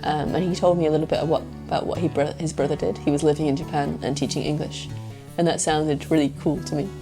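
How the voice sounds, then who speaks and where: A woman's voice: sits low, fairly even intonation, fast talker, music in the background. A woman answering interview questions as a teacher abroad, speaking thoughtfully with hesitant phrasing.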